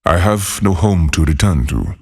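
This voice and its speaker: An actor playing a solitary, haunted former monk turned lawless desert wanderer in a video game, speaking in a solemn, measured, husky voice. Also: male voice, low-pitched, expressive, darkish tone, full character voice. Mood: brooding, solemn. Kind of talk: scripted game character voicelines.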